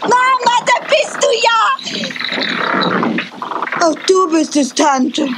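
A woman's voice: sing-song, slow, heavy background noise, full character voice. A woman voicing a small, timid and innocent young male squirrel in a cartoon dub. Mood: upset. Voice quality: high, childlike.

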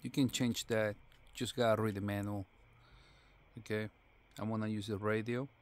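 A man reviewing a product casually, thinking aloud in short, halting fragments. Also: fairly low pitch, level tone, slight background noise.

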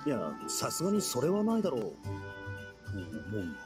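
A man voicing an easygoing young man in a game, dry and relaxed, with clear game music behind him. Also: mid-range voice, very fast. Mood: wry. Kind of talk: Japanese game voice acting.

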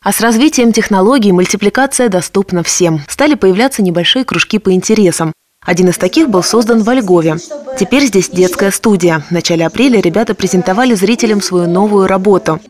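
A woman's voice: medium pitch, a little fast, a little mellow, faint music behind. A woman news reporter reading scripted narration for a television report.

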